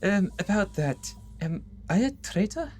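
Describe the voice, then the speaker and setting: A man's voice: high voice, big pitch swings, unhurried, a little mellow, a little hiss or hum. A man playing a timid, anxious scientist in an amateur audio drama, with a hesitant, fretful manner.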